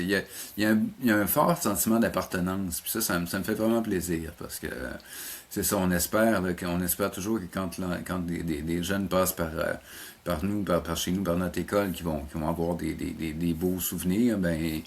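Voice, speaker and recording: Middle-aged man, Quebec French accent, lowish voice, casual and hesitant, circling back on words in conversation. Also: steady tone, brisk. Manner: hesitant, repeats words as he searches for phrasing. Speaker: animation teacher and programme coordinator, interview guest.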